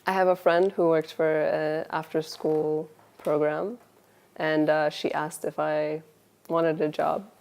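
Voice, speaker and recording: A woman's voice: deep voice, flat delivery, slow, darkish tone. A woman in her twenties, born in Finland, telling her love story in a casual interview, laughing often and restarting her sentences. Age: 20s.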